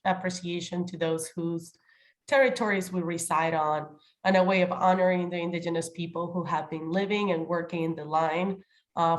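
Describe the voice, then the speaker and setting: Woman, somewhat low pitch, nasal from a lingering cold, committee chair, leading an online meeting. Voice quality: slightly nasal. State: getting over a cold. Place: remote video call.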